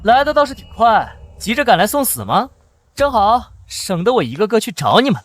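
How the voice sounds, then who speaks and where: A man acts out a defiant young hero of an animated sci-fi fantasy comic. His delivery is highly expressive and slightly high for a man, cocky and resolute, with music behind it. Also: brisk. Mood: defiant, taunting. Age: young adult. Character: defiant young man hero with modern weapons in cultivation world. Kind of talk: dramatic animated comic voice acting.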